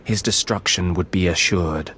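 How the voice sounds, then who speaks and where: An actor playing a scheming, deferential dark apprentice in a video game, speaking formally and persuasively in measured phrases. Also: male voice, low voice, a little hiss or hum. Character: scheming Sith apprentice, deferential servant of shadowy master. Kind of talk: video game cutscene acting.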